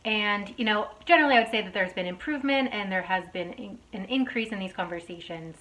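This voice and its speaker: A woman answering interview questions in a measured, thoughtful way, often pausing with ums. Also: medium pitch, fairly bright tone, rough recording.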